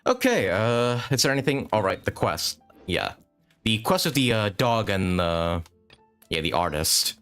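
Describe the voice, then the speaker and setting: Deep-voiced man streaming a game, lively pitch. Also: unhurried, smooth. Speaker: live streamer playing a video game. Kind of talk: casual game livestream commentary. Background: video game music.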